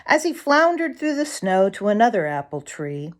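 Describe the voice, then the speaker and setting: A woman reading a children's story aloud as an expressive narrator. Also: medium pitch, moderate pace.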